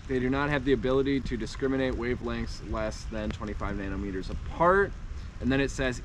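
A man's voice: medium pitch, a little hiss or hum. A young man co-hosting a hiking vlog, outdoors in bare woods, reading facts aloud and stumbling over hard words. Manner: reads haltingly, stumbles on words, laughs often. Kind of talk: casual chat, reading facts aloud from a phone. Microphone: 360 camera on a handheld stick, a few steps off.

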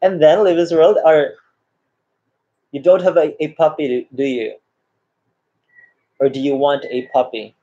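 A man's voice: a little high, steady tone, unhurried, long pauses, warm tone. A man, casually chatting with viewers on a live stream, reading comments aloud and greeting people by name. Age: 30s. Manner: friendly, greets viewers by name, reads chat aloud, laughs often. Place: small room indoors. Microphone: laptop webcam mic. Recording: dull lo-fi laptop sound.

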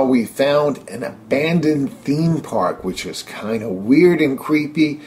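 A man's voice: medium pitch, darkish tone. A middle-aged man giving an upbeat, enthusiastic first-person narration of a travelogue.

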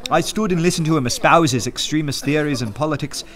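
An actor playing an earnest, respectable poet of law and order in a radio play, speaking with literary rhetoric. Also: male voice, a little high, fairly bright tone, close to an everyday voice. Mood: reflective storytelling. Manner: measured when narrating, fervent and rhetorical in debate. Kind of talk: radio drama narration and acting. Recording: music bed under the voice.

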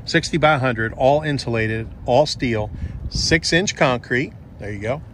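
A man's voice: mid-range voice, a little slow, fairly bright tone, a little hiss or hum. A man working as a real estate agent, talking casually and jokily through an unscripted walkthrough tour. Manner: folksy, offhand, jokey.

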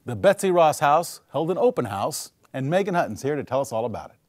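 A man's voice: on the high side, big pitch swings, brisk, no room sound. A man in his fifties anchoring the news, reading a scripted introduction in a studio. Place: television studio.

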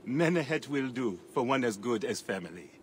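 A man's voice: medium pitch, animated, moderate pace, natural voice. Dude doing a friendly priest guy in a game, gushing and polite, faint background noise. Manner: courteous, effusive, apologetic. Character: genial middle-aged temple priest man.

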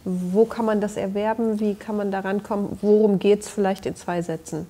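A woman in her thirties hosting a studio interview, filling pauses with many hesitations. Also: medium pitch, steady tone, mellow. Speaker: talk show host.

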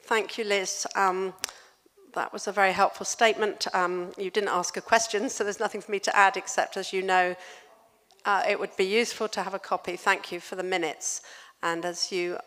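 A woman chairing a council meeting, polite and measured with frequent fillers, at a table mic in a large hall. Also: mid-range voice, steady tone, fairly bright tone. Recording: reverberant hall.

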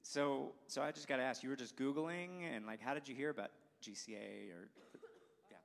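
A man's voice: mid-range voice, a little fast, creaky. A young man hosting a student interview on a church stage with a handheld mic, upbeat and prone to restarting his sentences. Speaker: school staff host. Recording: long reverb of a large hall.

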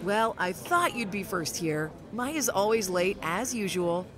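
A woman's voice: medium pitch, expressive, a little slow, crowd noise, natural voice. A woman playing a curious, chatty young woman in an amateur audio drama, speaking in an inquisitive, conversational way.

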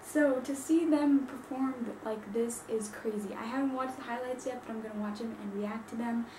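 A young woman who grew up in Zambia, reacting casually to football highlights and exclaiming often as a reaction video host. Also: moderate pace. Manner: excitable, exclaims often, laughs easily. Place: small bedroom indoors. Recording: echoey room, distant mic, loud hiss.

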